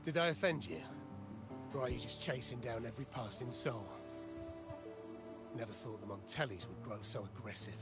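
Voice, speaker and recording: A man's voice: mid-range voice, normal speed, pauses often, over music, close to an everyday voice. An actor playing a weary, embittered old man in a video game, speaking in a solemn, rueful way. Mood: irritated, aggrieved. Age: older. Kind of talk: scripted video game dialogue.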